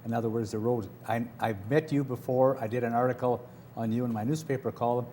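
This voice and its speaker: A man's voice: mid-range voice, steady tone, brisk, mellow, slight background noise. Chatty man hosting a local TV show. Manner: chatty, rambling questions with restarts. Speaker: TV talk show host. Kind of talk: local TV interview. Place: TV studio.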